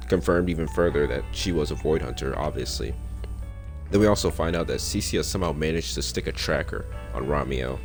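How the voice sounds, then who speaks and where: A young man casually recapping a game's story, with slangy, joking asides. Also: a bass voice. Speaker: gaming content creator. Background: faint game music.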